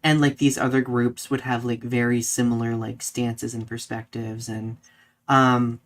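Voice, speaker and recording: Young adult podcast co-host with a middling, androgynous pitch; chatty storyteller who voices people's reactions as they go. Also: measured pace. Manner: animated retelling with quoted reactions. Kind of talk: casual conversational storytelling.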